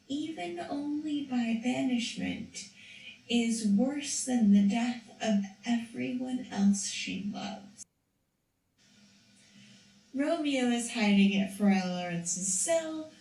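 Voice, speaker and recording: A woman's voice: high voice, darkish tone, far from the mic. A woman narrating a story for a school puppet show, reading carefully with a few restarts. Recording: echoey room, voice from off-mic.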